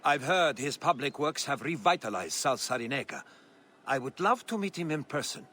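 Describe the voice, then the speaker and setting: An actor playing an earnest, eager magistrate of an ancient city in a video game. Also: male voice, medium pitch, very animated, normal speed, bright, forceful tone, a little hiss or hum. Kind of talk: video game cutscene acting.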